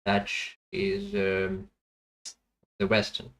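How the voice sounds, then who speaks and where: A man streaming a language-guessing game, thinking aloud in a halting way with many fillers and self-corrections, and chatting to viewers between guesses. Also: high-pitched, sing-song, vocal fry, fairly bright tone.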